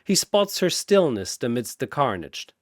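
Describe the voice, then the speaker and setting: A man's voice: mid-range voice, big pitch swings, measured pace, no room sound, clean studio sound. A man giving theatrical, scripted narration of a fantasy battle story. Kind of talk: dramatic scripted fan-fiction battle narration.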